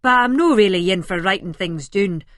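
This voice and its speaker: A woman voicing a cheeky, enthusiastic Scottish lad with a loud, chummy manner in an audiobook. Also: mid-range voice, lively intonation, normal speed, no echo, studio quality, natural voice. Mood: defensive.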